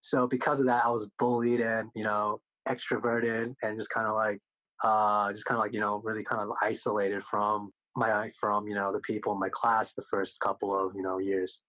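A man's voice: lowish voice, little pitch movement, normal speed, sharp tone, low-quality recording. A man whose first language is Korean, a guest speaking loosely and jokingly, full of "like" and "you know". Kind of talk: casual interview chat.

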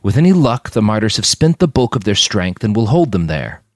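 A weary older army major, voiced by a male narrator in an audio novel. He is courteous and fatherly, forcing some cheer over his tiredness. The voice is lively in pitch and dryly recorded. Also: brisk, dry recording, studio quality. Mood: grave, frank.

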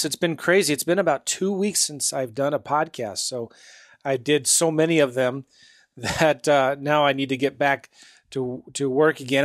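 A man's voice: a little high, a bit bright, dry recording, studio quality. A man hosting a podcast interview, upbeat and chatty, laughing easily. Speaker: podcast host.

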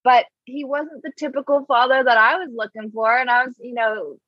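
A woman's voice: sits high, steady tone, normal speed, dry recording. Reflective woman guest, muffled sound. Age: 30s. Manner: reflective, earnest, many "like" fillers. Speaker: interview guest. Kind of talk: casual interview chat.

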